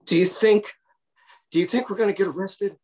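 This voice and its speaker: An actor playing a nervous male accountant caught up in a riot, over a video call, breathy voice. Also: high-pitched, fairly quick, a little mellow. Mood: anxious. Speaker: actor in a comedy sketch. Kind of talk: video-call play reading. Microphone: video call webcam.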